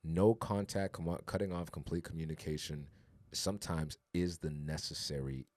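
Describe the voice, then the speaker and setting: A man's voice: very deep voice, steady tone, normal speed, a little mellow, dry recording. A man co-hosting a podcast, talking in an earnest, reflective way that builds to emphatic urging. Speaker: podcast co-host. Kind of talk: casual podcast conversation.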